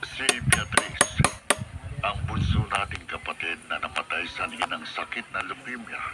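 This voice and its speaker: An actor with a Filipino accent playing an earnest, kind and protective older brother in an emphatic, solemn radio drama style. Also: male voice, sits low, normal speed, very noisy, natural voice. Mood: tender, solemn. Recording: loud music behind radio drama.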